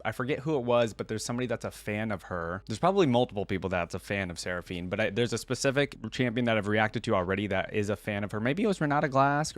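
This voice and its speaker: Male reaction-channel host, lowish voice, casual and musing, close dry sound. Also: no room sound. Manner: thinks aloud, hedging and musing. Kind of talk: casual reaction commentary, reading aloud from text.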